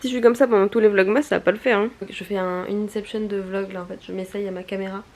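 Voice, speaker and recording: A woman's voice: medium pitch, steady tone, quick pace. A young woman from Paris, chatting casually in a playful, slangy, self-mocking way as she vlogs. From Paris, France. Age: young adult. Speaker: vlogger.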